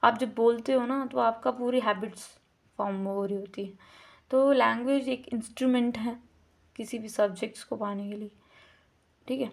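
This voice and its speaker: A woman with an Indian accent giving a slow, deliberate lecture, mixing English terms into her talk and repeating words to show how they are pronounced. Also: a little high, fairly even intonation, gravelly. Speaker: teacher. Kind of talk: lecture explaining a course unit.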